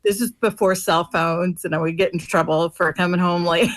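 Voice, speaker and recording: A woman from Florida joins a radio talk show as a guest, speaking in a fairly low voice. She chats in a friendly, easygoing way, with plenty of ums and you knows. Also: steady tone, moderate pace. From Florida, United States. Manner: friendly, reminiscing, many fillers. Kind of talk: casual interview chat.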